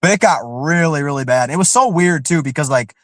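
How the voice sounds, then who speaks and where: A man's voice: a little high, a little fast, fairly bright tone, no echo, studio quality. A man co-hosting a podcast, talking in a loose, rambling way with constant filler words, sounding slightly nasal as he gets over an illness. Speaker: returning co-host. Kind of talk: casual podcast chat.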